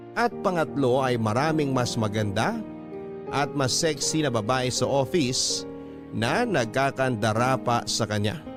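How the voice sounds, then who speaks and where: A man reading a first-person story as a radio drama narrator. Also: mid-range voice. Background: background music.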